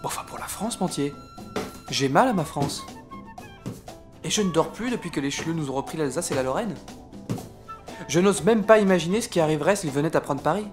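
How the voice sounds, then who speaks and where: Fast-talking, irascible army captain played by a man. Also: fairly high pitch, lively intonation, over music, close to an everyday voice. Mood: solemn, pompous. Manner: sarcastic, bossy, exasperated, coarse-mouthed. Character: irascible, self-important French army captain in wartime trenches. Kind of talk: comic audio drama acting.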